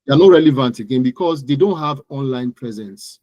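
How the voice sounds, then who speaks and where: A man's voice: medium pitch, animated, brisk, dark tone, no echo, dull audio. A man with a Nigerian accent giving interview answers as an expert guest, explaining things emphatically and often repeating words and phrases. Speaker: guest digital marketing expert.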